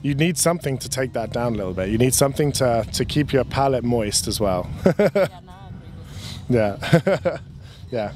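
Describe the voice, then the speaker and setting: A man's voice: mid-range voice, moderate pace, pauses often. Young man chatting casually outdoors. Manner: relaxed, chatty, with frequent restarts and laughs. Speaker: winery representative. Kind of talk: casual explanatory talk. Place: outdoor patio at a winery. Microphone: handheld mic held by the interviewer.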